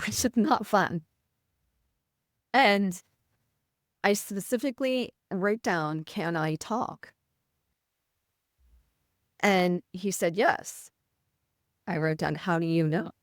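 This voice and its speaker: A middle-aged woman nurse with a Midwestern American accent, speaking with a voice that can sound slightly rough, telling a personal story in an interview. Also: on the low side, no room sound, clean studio sound. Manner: earnest, vivid retelling with quoted inner thoughts. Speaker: nurse, podcast guest.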